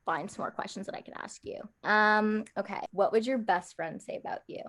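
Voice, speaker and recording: A woman's voice: mid-range voice, a little fast, a bit bright. A woman, chatting informally on a video call and often saying "like". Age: early 20s. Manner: informal, peppered with "like". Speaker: host and contestant of a dating show. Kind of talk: casual chat on a video call. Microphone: video call microphone.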